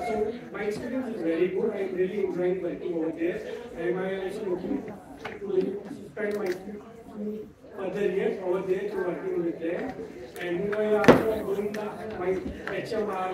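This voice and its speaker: A polite man with a high voice speaks formally to an event audience. He sounds distant in a very echoey hall, and a crowd murmurs behind him. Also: fairly even intonation, moderate pace. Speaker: regional pharmacist. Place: large hall at a multicultural event.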